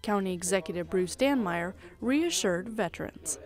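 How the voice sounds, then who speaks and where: A woman in her forties, a television reporter, delivering a scripted news report in a clear, measured way. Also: medium pitch, expressive, a little mellow. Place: television studio.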